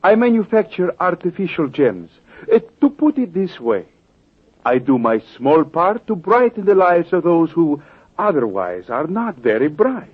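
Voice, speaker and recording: A fussy, pedantic gem maker. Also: male voice, on the high side, big pitch swings, a little slow, breathy edge, heavily acted. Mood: prim. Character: fussy precise man who makes fake gems. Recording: muffled old radio broadcast.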